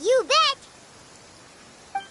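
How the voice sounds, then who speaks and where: A woman voicing a small, excitable, easily scared and cheeky female fairy companion in a video game. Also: extremely high pitch, extremely slow, heavily acted. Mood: excited. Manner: speaks of herself in third person, exclamatory. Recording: music behind the voice.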